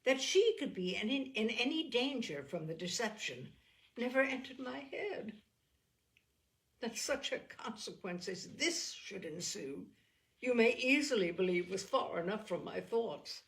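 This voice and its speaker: A woman acts out a young Regency gentlewoman from a classic novel. She is candid, earnest and quick to indignation, speaking in formal, emphatic phrases with animated stress. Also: a little high, creaky. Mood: regretful, emphatic.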